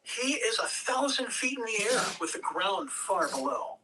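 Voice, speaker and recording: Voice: mid-range voice, sing-song, measured pace. An amateur reader performing screenplay narration and scene directions with theatrical emphasis. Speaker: script reader at a table read. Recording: distant home mic, background noise.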